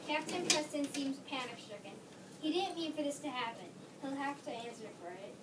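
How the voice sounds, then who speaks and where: Woman with a high voice reading narration, far from the mic in a noisy room. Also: animated, fairly quick, echoey room. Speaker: reader. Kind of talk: readers theater narration.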